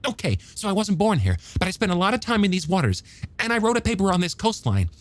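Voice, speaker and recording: A man's voice: high-pitched, sing-song, fast talker, voice pushed up. A man playing an eager, bookish young male scientist in an audiobook, stammering a little as he explains things emphatically and testily. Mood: testy. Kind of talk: audiobook character dialogue.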